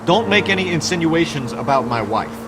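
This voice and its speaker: A man's voice: a little high, lively intonation, fairly quick, noisy background, natural voice. A man with an American accent playing an upright, by-the-book 1940s police detective in a video game, speaking in a formal, earnest, clipped way. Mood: indignant, stern.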